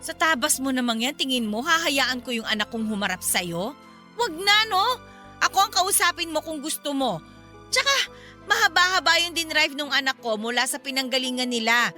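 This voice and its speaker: A defensive, protective middle-aged Filipino mother in a radio drama. The voice is very high and swoops expressively, sassy in manner, with light music underneath. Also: lively intonation, moderate pace, pushed and bright. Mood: firm, defiant.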